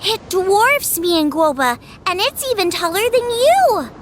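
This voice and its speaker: A woman's voice: very high-pitched, very animated, a little slow, a little hiss or hum, full character voice. An actress playing a cheerful, practical teenage girl in a video game, explaining things in an encouraging way. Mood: matter-of-fact. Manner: encouraging, matter-of-fact, explanatory. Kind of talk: animated video game acting.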